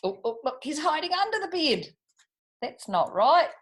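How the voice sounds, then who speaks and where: A woman's voice: high voice, very animated, normal speed, a bit bright. A woman hosting a children's storytime, reading aloud animatedly and chatting to young listeners with questions and asides. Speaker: children's storytime reader and host.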